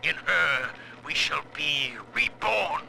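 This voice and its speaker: Fervent high-pitched cult preacher. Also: male voice, lively intonation, slow, creaky, noisy background, heavily acted. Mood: reverent. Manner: incantatory, grandiose, rising to cries. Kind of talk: theatrical sermon.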